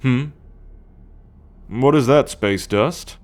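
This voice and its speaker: A man playing a cocky, teasing male alien scout warrior in an ASMR roleplay, talking straight to the listener with a drawl. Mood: smug, teasing. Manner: teasing, slow and drawling, with low chuckles.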